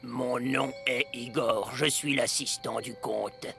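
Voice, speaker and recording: An actor voicing a grumpy, weary assistant to a vampire count in a French cartoon, deadpan and sarcastic. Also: male voice, mid-range voice, slow pace, gravelly, music in the background. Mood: dour, formal.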